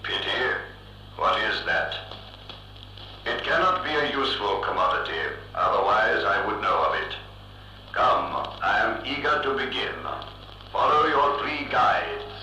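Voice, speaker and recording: Echoing, imperious machine mind. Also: male voice, fairly low pitch, animated, pushed and bright, soft background music, exaggerated voice. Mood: indifferent, eager. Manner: emotionless, pompous, formal and deliberate. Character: cold, imperious giant thinking machine ruling Mars. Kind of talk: vintage science-fiction radio drama acting. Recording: echoing old reel-to-reel radio recording.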